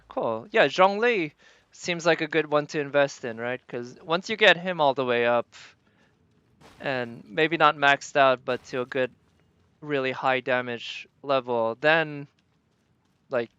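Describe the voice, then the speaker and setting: A man's voice: mid-range voice, fairly bright tone, clear, resonant tone, dry recording, dull audio. A man live streaming a video game, chatting casually with viewers and musing aloud as he plays. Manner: thinks aloud, tentative and musing.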